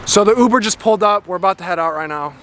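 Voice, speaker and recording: A man's voice: high voice, rapid-fire, background noise. A young man hosting a casual vlog, hyped and slangy, talking into a handheld camera outside and in a car. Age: young adult. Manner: hyped, slangy, calls friends "dog". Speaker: vlog host. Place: outdoors by a car, then back seat of a car. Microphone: handheld camera close up. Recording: background music over the voice.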